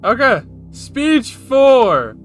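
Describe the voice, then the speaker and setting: A man's voice: very high-pitched, sing-song, very slow, faint music behind. A man giving casual, slangy reaction commentary as the host of a video, in short informal remarks. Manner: informal, slangy, brief interjections.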